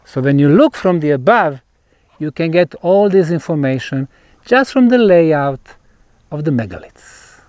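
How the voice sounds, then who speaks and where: A man guiding an on-site tour outdoors, explaining in emphatic, deliberate short phrases with pauses. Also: high voice, no room sound. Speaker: tour guide and researcher.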